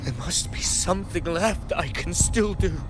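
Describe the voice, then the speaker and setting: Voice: mid-range voice, animated, measured pace, natural voice. An actor voicing a tormented, defiant figure fighting maddening inner whispers in a dramatic game scene. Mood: anguished, defiant. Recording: loud music behind.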